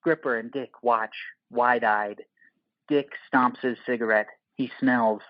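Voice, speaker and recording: A man's voice: mid-range voice, fairly even intonation, unhurried, no room sound, muffled recording. A man narrating an audio play, reading stage directions in terse screenplay phrases.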